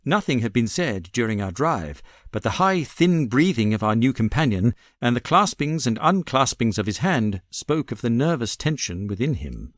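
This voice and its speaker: A British man narrates classic fiction in a medium-pitched voice. His delivery is expressive, with lively swings in pitch, and the recording is very dry. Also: moderate pace, few pauses, dry recording. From Britain. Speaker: audiobook narrator. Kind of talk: expressive literary story narration.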